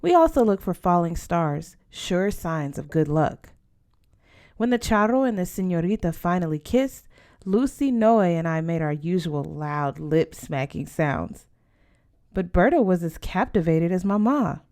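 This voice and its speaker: A woman's voice: on the low side, lively intonation, unhurried, darkish tone. A woman narrating a first-person story as an audiobook reader, in a scripted storytelling style.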